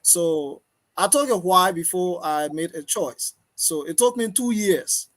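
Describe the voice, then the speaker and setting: A high-voiced man with a Liberian accent speaks as a guest on a talk show. He introduces himself in deliberate phrases, leaning on repetition for emphasis. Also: animated, fairly bright tone. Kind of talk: interview answers, self-introduction.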